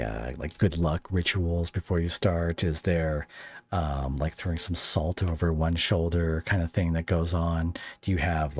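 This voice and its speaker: A man with a low voice and a close, dry sound hosts a casual interview. He is chatty and rambles through his questions, slipping in jokey asides. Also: a little mellow, no echo. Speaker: podcast host and interviewer.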